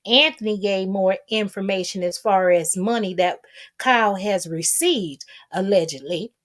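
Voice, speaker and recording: A woman's voice: medium pitch, measured pace. An American woman hosting casual gossip commentary, talking in a sassy, colloquial way and repeating herself for emphasis. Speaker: commentary vlog host.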